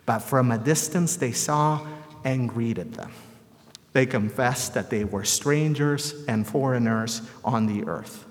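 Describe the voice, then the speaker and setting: A man from El Salvador preaching a sermon in a measured, rhetorical way with deliberate phrasing and repetition. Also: medium pitch. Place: large reverberant church or hall. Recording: echoey hall with long reverb.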